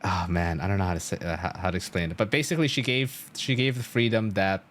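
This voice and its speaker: A young man with a fairly low voice chats on a game stream. He explains story in a loose, rambling way, stressing his points and swearing casually. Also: fairly even intonation, quick pace, fairly bright tone.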